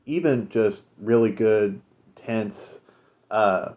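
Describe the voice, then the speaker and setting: Low-voiced man on a phone-like line. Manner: relaxed, many fillers, measured. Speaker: podcast co-host. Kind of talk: casual chat, recommending shows. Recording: phone-like narrow sound.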